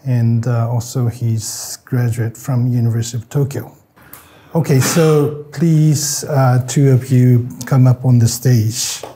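A man introducing speakers at an event, slow and halting with many fillers. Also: mid-range voice, fairly even intonation, dark tone, slight background noise. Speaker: event emcee. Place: event hall.

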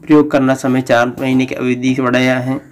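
A man hosting a news channel, reading a bulletin aloud in a flat, halting way. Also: medium pitch.

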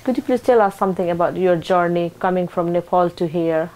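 A woman asking oral history interview questions in halting phrases. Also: on the low side, darkish tone, low-quality recording.

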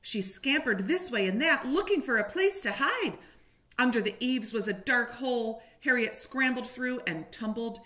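A woman's voice: on the high side, moderate pace. A woman in her forties reading a picture book aloud expressively and talking to young children. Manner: animated, explaining and questioning for young children. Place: living room, indoors. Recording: echoey room.

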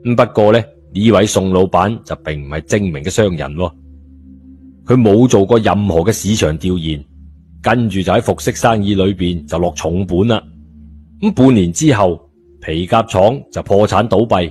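A man's voice: sits low, moderate pace, darkish tone, slight background noise. A man with a Cantonese accent narrating an audiobook in colloquial storytelling style. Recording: background music under the voice.

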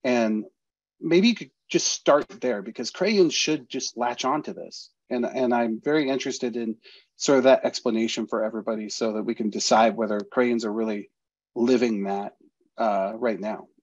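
Rambling middle-aged host, dry dull mic. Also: male voice, mid-range voice, moderate pace, dry recording. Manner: rambling, restarts, many fillers. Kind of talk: video call interview. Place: home studio with guitars. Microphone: studio mic with headphones.

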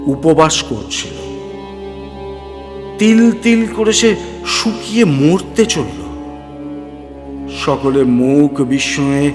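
A man narrating a horror story with theatrical, deliberate phrasing and dramatic pauses. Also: fairly high pitch. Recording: long hall reverb, music underneath.

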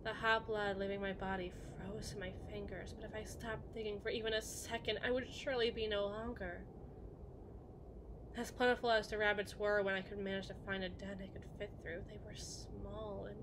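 A woman playing a brooding, wounded evil queen in an ASMR roleplay monologue, halting and deliberate with dramatic pauses. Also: mid-range voice, level tone, natural voice. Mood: grim, weary. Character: haunted evil queen, brooding, wounded, confessing a hard past. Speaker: audio roleplay performer. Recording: clear background noise, ambience.

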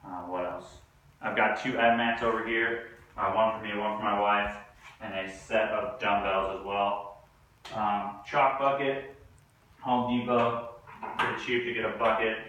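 A man's voice: on the low side, unhurried. Young man in an echoey garage. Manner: relaxed, many ums and uhs. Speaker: home gym vlogger. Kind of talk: casual walkthrough tour. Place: two-car home garage gym, indoors. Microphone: camera mic from a few meters away. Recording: echoey garage, distant from mic.

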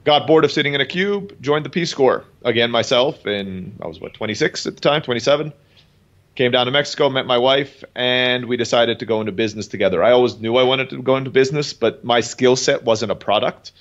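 Fast-talking man on dull lo-fi audio. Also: mid-range voice, fairly bright tone. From Turkey. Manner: rapid, chatty storytelling with frequent "um" and "you know". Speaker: guest entrepreneur. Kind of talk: casual interview talk. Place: home in Mexico.

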